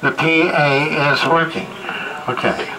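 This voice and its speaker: Slow-talking man muttering over repair work. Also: a little high, steady tone, background noise. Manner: halting, muttering to himself as he works. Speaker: radio repairman and video host. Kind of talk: casual hands-on repair commentary. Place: indoor repair workbench.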